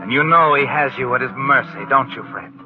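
A man's voice: mid-range voice, a little fast, close to an everyday voice. Vintage radio thriller narrator, male, played by an actor: ominous, insinuating, thin broadcast sound, prominent music behind. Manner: insinuating, suspenseful, rhetorical tag questions. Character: ominous male narrator addressing the schemer as you. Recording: tinny old radio broadcast over music.

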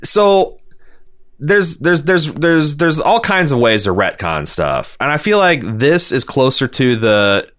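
A man hosting a podcast, discussing television casually in a halting, rambling way and often repeating words as he reasons. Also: fairly high pitch, sing-song, a bit bright. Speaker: podcast host reading listener feedback.

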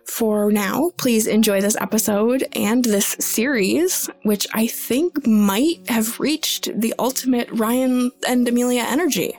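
A woman's voice: a little high, unhurried, few pauses, darkish tone. A woman co-hosting a tabletop game podcast, talking chattily with many ums and breaking into laughs. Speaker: podcast co-host. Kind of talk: casual podcast intro and chat.

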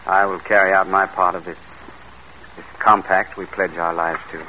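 An actor playing an earnest, indignant, protective husband in old-time radio drama, urgent and blunt. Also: male voice, deep voice, level tone, normal speed, bright, forceful tone. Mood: solemn. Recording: noisy vintage radio recording.

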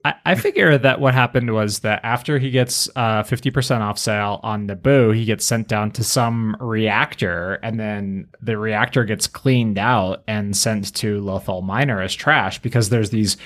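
A man's voice: fairly low pitch, measured pace, hardly any pauses, fairly bright tone. A man co-hosting a podcast in a casual, conversational fan discussion. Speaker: podcast co-host.

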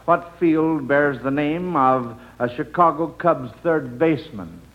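Higher-pitched man hosting a vintage radio quiz. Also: slow. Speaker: quiz show host. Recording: dull vintage radio broadcast.

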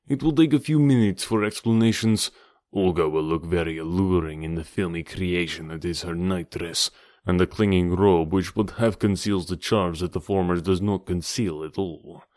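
Male reader as gloating schemer, deep pitch, suave, theatrical, dry close sound, storybook villain. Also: a little mellow, dry recording, lightly acted. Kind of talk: audiobook character dialogue.

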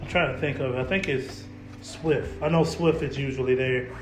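A man's voice: medium pitch, fairly even intonation, normal speed, noisy background. Laid-back slangy young man, echoey room. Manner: laid-back slangy talk, repeats words, laughs often. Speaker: podcast host, local car photographer. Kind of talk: casual chat.

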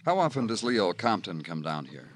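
Low-voiced, probing police lieutenant. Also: male voice, big pitch swings, moderate pace. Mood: probing, patient. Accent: American. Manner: probing, polite, clipped questioning. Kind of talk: 1950s American radio crime drama acting. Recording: faintly noisy old radio recording.

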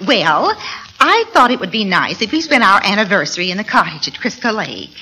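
A woman's voice: mid-range voice, big pitch swings, fairly quick, natural voice. An actress playing a cheerful middle-aged wife and mother in an old radio sitcom, fluttery and singsong. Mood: fluttery, pleased. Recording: noisy vintage radio recording.

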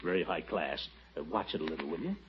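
An actor playing a pompous, blustery middle-aged town commissioner hosting a party, in 1940s radio comedy style. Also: male voice, rumbling low, fast talker. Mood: flustered. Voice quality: fruity, blustery, chuckling. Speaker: party host. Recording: muffled old radio broadcast, audience and hiss.

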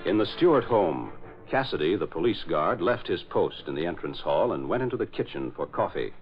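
Low-voiced 1940s radio announcer, man. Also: expressive, a little fast, music in the background. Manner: formal, emphatic, dramatic. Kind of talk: 1940s radio scripted narration. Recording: dull vintage radio recording.

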